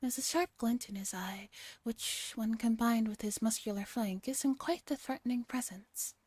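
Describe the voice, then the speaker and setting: A soft-spoken woman streaming a gentle read-aloud in an ASMR style, sometimes slipping into breathy near-whispers. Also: fairly high pitch, moderate pace, no echo. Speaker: streamer reading a visual novel aloud.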